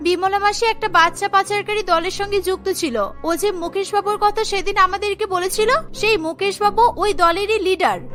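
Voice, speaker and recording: A woman's voice: very high voice, pushed and bright. A woman voicing a devoted, grateful elder sister in a children's cartoon, speaking earnestly and emotionally.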